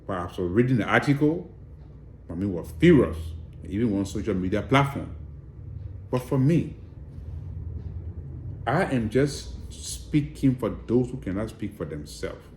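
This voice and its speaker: A man's voice: lowish voice, lively intonation, a little slow, long pauses, darkish tone, roomy sound, slight background noise. A man with a Liberian accent giving direct, emphatic political commentary and appealing to viewers. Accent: Liberian English.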